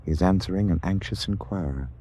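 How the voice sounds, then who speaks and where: Old time radio doc announcer, deep-ish male, formal, terse, authoritative. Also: fairly quick, dark tone. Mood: stern, measured. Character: authoritative male documentary announcer describing war scenes. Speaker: second narrator. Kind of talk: 1940s radio documentary narration.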